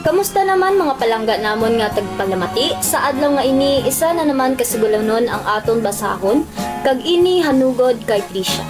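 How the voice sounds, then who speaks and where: A woman narrating a student radio drama in a measured storytelling voice. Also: sits high, lively intonation. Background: music.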